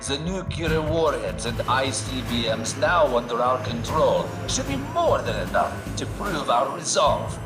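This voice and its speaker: Slow, grandiose militant leader. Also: male voice, mid-range voice, big pitch swings. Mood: solemn, triumphant. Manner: deliberate, grandiose, menacing. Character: solemn militant leader declaring a new nation. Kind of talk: theatrical televised proclamation. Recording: roomy echo, music behind.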